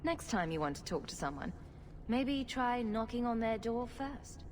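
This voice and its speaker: A woman's voice: fairly high pitch, sing-song, unhurried, a little mellow, in a large hall, slight background noise. An actress playing a wry, sarcastic female rogue adventurer in a fantasy video game, with a dry delivery. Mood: dry, teasing. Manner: dry, flippant.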